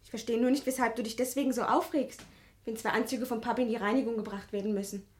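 A woman acting a querulous daughter in a radio drama. Her voice is fairly high, and she questions everything with impatient annoyance. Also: fairly even intonation, fast talker, darkish tone, natural voice. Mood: annoyed, puzzled. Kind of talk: German radio play acting.

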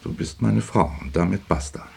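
An actor playing a domineering, possessive and blunt husband in a radio drama. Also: male voice, low voice, animated, normal speed, creaky, exaggerated voice. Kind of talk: German radio drama acting. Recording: hissy, noisy radio recording.

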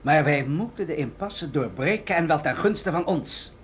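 Commanding general, higher-pitched male voice actor playing. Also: animated, slight background noise, natural voice. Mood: earnest, persuasive. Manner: deliberate, explanatory, commanding. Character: authoritative male military general briefing an agent, grave and deliberate. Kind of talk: radio drama acting.

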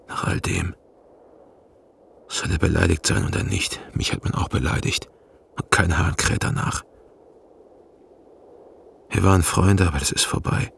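A bitter, stubborn shepherd in a radio play. His voice is very deep and heavily creaky, with grudging muttering that swings in pitch. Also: fairly bright tone, a little hiss or hum, exaggerated voice. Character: embittered, stubborn shepherd muttering about his sick former friend. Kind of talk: radio drama acting.

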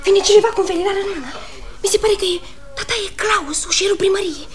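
Coy, very high-voiced young sweetheart. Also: female voice. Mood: alarmed. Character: coy, practical young sweetheart on a night rendezvous. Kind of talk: theatrical radio comedy acting.

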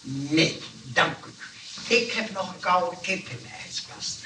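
An actor playing a pompous, snobbish, indignant landlord who repeats himself, in an old radio play. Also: male voice, on the high side, takes it slowly. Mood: disdainful. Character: pompous, grumbling, snobbish male neighbour and landlord. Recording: distant, faintly noisy old radio recording.

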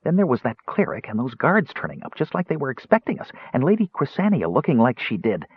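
A man voicing a small, cheerful and impulsive kender who chatters eagerly in a high voice. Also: huge pitch swings, breathy edge, no echo, full character voice. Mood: conspiratorial. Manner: high, bubbly, rapid and eager. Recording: dry tinny phone-like sound.

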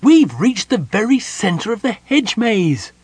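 A fussy little male gnome inventor, played by a man, bossy and irritable, in a fantasy storybook. His voice jumps high and swings about excitedly, with a husky edge. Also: no room sound, full character voice. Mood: ecstatic, frantic. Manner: brisk, commanding, exclaiming. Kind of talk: dramatised audiobook fantasy character.

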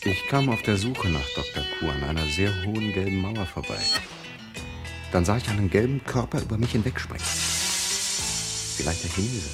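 A man playing an unflappable adventurer who narrates absurd exploits in a measured deadpan, in a scripted experimental radio play. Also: on the low side, lightly acted. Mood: detached, narrating. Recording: music behind the voice.

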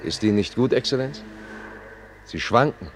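An actor playing a courteous, cryptic poet who guides his companion onward, formal and measured, in a German radio play. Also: mid-range voice, lively intonation, background noise, close to an everyday voice. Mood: solemn, urging. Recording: echoey hall reverb, faint music.

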